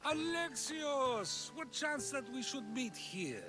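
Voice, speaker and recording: A man's voice: very high-pitched, very animated, takes it slowly, heavily acted. A man playing a playful, probing philosopher in a video game, theatrical and teasing. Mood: amused, teasing. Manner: theatrical, teasing, rhetorical questioning. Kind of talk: video game cutscene acting. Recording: music behind.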